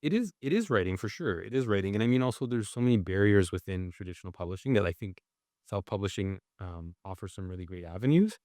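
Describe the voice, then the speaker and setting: A man with a fairly low voice talks through his answers as a podcast guest, reflective and loose, circling back with fillers. The sound is dry and close, with no room around it. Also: expressive, fast, darkish tone, no echo. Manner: thoughtful, with many filler "like"s and restarts. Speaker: guest, writer and journalist. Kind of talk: conversational interview answers.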